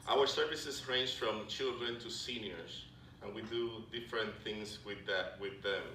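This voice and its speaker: A middle-aged man with a Puerto Rican accent giving a friendly, halting talk to a club, with many fillers and restarts. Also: on the low side, bright, forceful tone, far from the mic. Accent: Puerto Rican Spanish. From Puerto Rico. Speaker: Salvation Army officer speaking to a club. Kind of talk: informal presentation to a luncheon audience. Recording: echoey hall recorded from afar, background noise.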